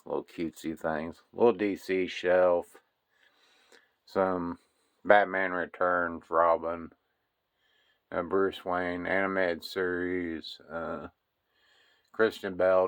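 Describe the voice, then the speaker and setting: A man giving a casual, rambling tour of his toy collection room, filling pauses with lots of uh and um while filming on a handheld phone. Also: low voice. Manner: unhurried, rambling, many fillers. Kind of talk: casual walkthrough narration.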